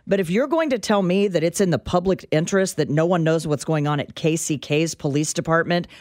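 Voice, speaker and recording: A woman's voice: lowish voice, fairly quick, darkish tone, no echo. An American woman co-hosting a radio talk show, speaking in an emphatic, argumentative way into a close studio mic. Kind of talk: opinionated talk radio discussion. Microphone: close studio microphone.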